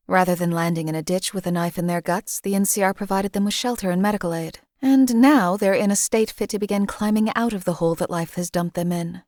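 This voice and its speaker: A woman's voice: fairly low pitch, a little mellow, no room sound, studio quality, natural voice. A woman voicing a cold, contemptuous hired assassin in a game, speaking in a formal, clipped, disdainful way. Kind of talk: game character voice lines.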